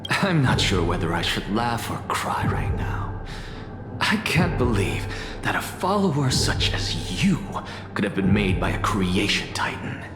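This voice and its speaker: A man's voice: fairly low pitch, sing-song, a little slow. A man playing an arrogant scholar in a fantasy game, speaking formally with dry, sardonic wit. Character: arrogant, sardonic male scholar and professor, blasphemous. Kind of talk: fantasy video game acting. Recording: echoey reverberant hall with music behind.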